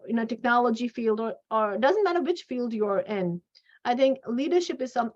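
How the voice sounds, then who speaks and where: A middle-aged woman hosting a conversation, sharing career advice in a halting way and repeating phrases for emphasis. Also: a little high, darkish tone. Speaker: host. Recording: dull lo-fi audio.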